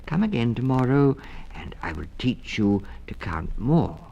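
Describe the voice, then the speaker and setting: Prim, impatient female town mouse teacher for a storybook, high and deliberate , played by a man. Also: big pitch swings, creaky, warm tone, muffled recording, heavily acted. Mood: weary, impatient. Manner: schoolmistressy, deliberate. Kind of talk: 1960s children's storybook record.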